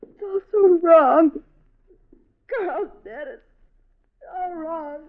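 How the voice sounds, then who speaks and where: An actress playing a distraught, strained wife in a 1950s radio drama. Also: female voice, extremely high pitch, extremely slow, exaggerated voice. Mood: tearful, stunned. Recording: tinny, noisy old radio recording.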